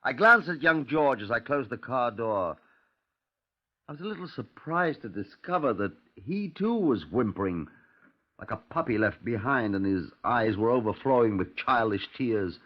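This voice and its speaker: An actor playing a stern, disdainful police detective, narrating a 1950s radio crime drama in a dry, clipped, musing manner. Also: male voice, mid-range voice, animated, normal speed, lightly acted. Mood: scornful. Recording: muffled, lo-fi 1950s radio recording.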